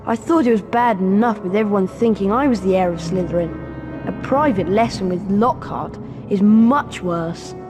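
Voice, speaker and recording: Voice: medium pitch, normal speed, lightly acted. Actor as young boy wizard, earnest, worried, plain-spoken, game cutscene, music underneath. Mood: worried, resigned. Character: earnest schoolboy wizard hero, worried and dutiful. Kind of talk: video game voice acting.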